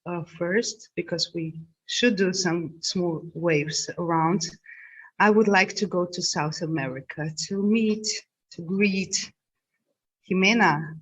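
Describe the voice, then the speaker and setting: Slow, hesitant woman moderating a video call. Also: fairly low pitch, breathy edge. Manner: slow, hesitant, many fillers. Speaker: panel moderator. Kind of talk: moderating an online panel. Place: home, dark room. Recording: dull video call audio.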